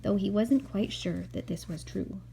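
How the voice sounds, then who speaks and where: A woman's voice: mid-range voice, normal speed, gravelly, dark tone, a little hiss or hum. A woman reading a picture book aloud to her young child, with casual asides as she goes.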